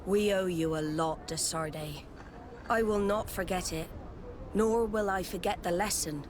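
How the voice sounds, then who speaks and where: A woman's voice: on the low side, measured pace, natural voice. A woman playing a proud, resolute female admiral in a video game, with a firm, formal, commanding manner. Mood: grateful, formal. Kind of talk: video game cutscene acting. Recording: noisy outdoor ambience behind the voice.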